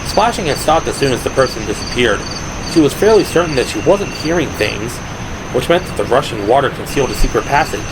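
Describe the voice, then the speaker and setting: A man's voice: medium pitch, expressive, fairly quick. A man reading scripted, dramatic audiobook narration as the narrator of an audio drama. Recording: noisy, night insect ambience behind. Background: crickets and ambient sound effects.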